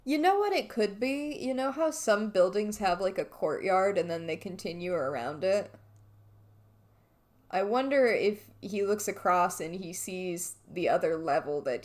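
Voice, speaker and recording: A woman's voice: fairly low pitch, expressive, normal speed, a little mellow. A woman co-hosting a game playthrough in casual chat, speculating and thinking aloud. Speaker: let's-play co-host playing the game.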